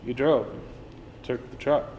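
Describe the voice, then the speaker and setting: A man acting in a play reading as a steady, plain-spoken friend who coaxes and reassures, recorded in a noisy room. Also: mid-range voice, measured pace, long reverb tail, lightly acted. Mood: reassuring. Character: Frank, steady, reassuring male friend, no longer young. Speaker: drama student actor. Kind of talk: dramatic reading of a stage play.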